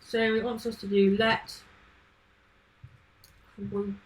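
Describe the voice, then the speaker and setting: A woman's voice: medium pitch, flat delivery, slow, slight background noise, low-quality recording. A woman chatting casually on a live coding stream, thinking aloud and answering questions from chat. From not from Manchester. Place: home, living in Manchester.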